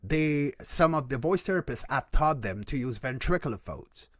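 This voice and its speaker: Lively-voiced man explaining earnestly. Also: medium pitch, moderate pace, no echo, studio quality. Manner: earnest, explanatory, frequent restarts and self-corrections. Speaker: guest voice coach and creature performer. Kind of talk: casual Q&A answers.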